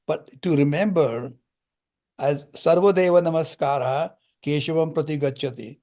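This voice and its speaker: Older man giving a calm spiritual talk. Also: fairly high pitch. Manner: calm, storytelling explanations with Sanskrit terms. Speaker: spiritual lecturer. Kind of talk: teaching discourse with parables.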